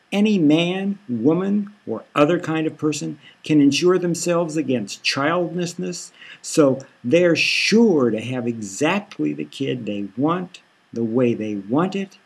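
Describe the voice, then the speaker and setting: Man playing a husky, glib insurance salesman. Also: full character voice. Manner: salesy, jargon-filled pitch.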